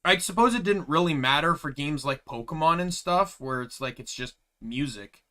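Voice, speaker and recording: Young male streamer, loud and bright, casual rambling chat. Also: a little high, expressive, normal speed. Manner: chatty, rambling asides. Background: game music.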